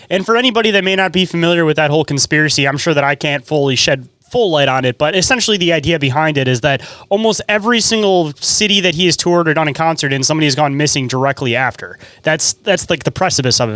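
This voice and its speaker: A man's voice: fairly high pitch, fast, fairly bright tone, dry recording. A man hosting a podcast in a casual, conversational way, informally circling his way toward questions. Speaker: podcast host.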